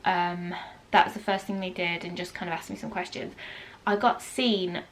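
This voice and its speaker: A woman's voice: lowish voice, level tone, normal speed, low-quality recording. A British woman in her thirties, nasal and bunged up with a cold, tells a long story straight to camera. She rambles along with ums and side remarks in an ordinary mid-range voice. A faint hum of room noise sits behind her. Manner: chatty storytelling with frequent ums and asides. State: congested with a cold. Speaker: vlogger. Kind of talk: casual chat to camera.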